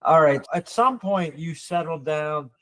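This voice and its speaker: A man's voice: a little high, lively intonation, a little slow, a bit bright. A man hosting a casual conversational interview as a podcast host.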